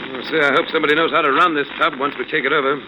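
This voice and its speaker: Fast, chipper sidekick played by a man. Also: on the high side, fairly even intonation, natural voice. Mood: eager. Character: chipper, eager British adventurer sidekick. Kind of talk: 1940s radio drama acting. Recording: noisy old radio recording.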